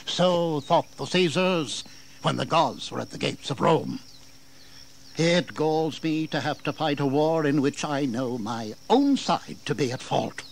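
An actor playing a proud, sardonic English colonial governor in a declamatory historical audio drama. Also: male voice, on the high side, unhurried, gravelly. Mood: weary resolve. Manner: declamatory, sarcastic, aristocratic. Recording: faintly noisy 1980s drama recording.

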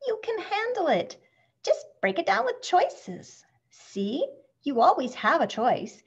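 A woman's voice: extremely high pitch, huge pitch swings, slow pace, muffled recording, voice pushed up, heavily acted. A woman voicing a breezy, reassuring young boy putting on an Australian accent in a children's story. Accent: put-on Australian.

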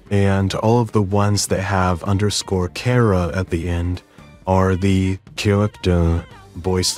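A man narrating a step-by-step software tutorial as its host. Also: deep voice. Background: background music.

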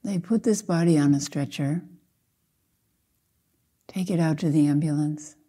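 An older woman leading a guided meditation as a Zen teacher, speaking softly and gently in short, measured phrases with long pauses. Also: sits low, slightly rough.

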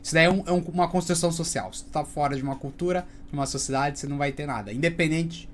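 A man's voice: a little high, very fast, a little hiss or hum. A man hosting a reaction video, chatting casually and giving his opinions in a slangy way at his webcam. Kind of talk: casual commentary.

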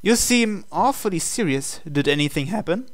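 A let's play host reading a visual novel's hero lines and narration aloud in English, in a plain, unhurried way. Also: mid-range voice, lively intonation, no echo.

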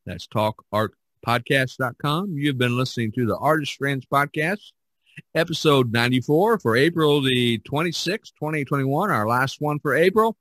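A man hosting a podcast in casual, halting talk, spelling things out as he goes. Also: mid-range voice, expressive, a bit bright, no echo. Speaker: podcast host. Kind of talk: casual chat and sign-off.

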